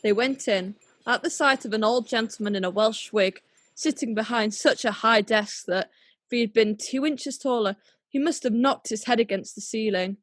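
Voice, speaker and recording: A woman's voice: a little high, moderate pace, no echo, natural voice. A woman narrating a classic tale as a scripted radio play, in storybook style. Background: music.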